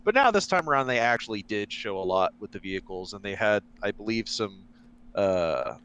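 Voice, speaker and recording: A man with a smooth, resonant voice co-hosts a casual podcast. He explains things in a loose, hesitant way, with plenty of fillers as he goes. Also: on the low side, sing-song, normal speed, a bit bright. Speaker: podcast co-host. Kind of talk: casual chat.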